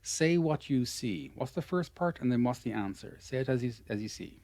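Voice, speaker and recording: A man's voice: medium pitch, expressive, normal speed, a little mellow, no room sound. Irish guy teaching pronunciation online, patient, lots of "very good", dry close sound. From Ireland. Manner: patient, encouraging, repeats praise.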